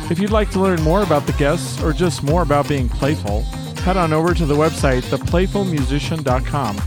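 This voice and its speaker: A man reading a scripted podcast introduction in a steady, upbeat way. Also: a little high. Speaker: podcast host. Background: music bed.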